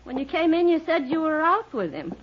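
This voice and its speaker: A tormented, jealous wife in an old radio melodrama. Her voice sits high, and she turns between accusation and pleading. The recording is muffled and aged. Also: big pitch swings, brisk, slight background noise. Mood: bitter, suspicious. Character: jealous, tormented wife of a dance-band pianist. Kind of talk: 1950s radio drama acting.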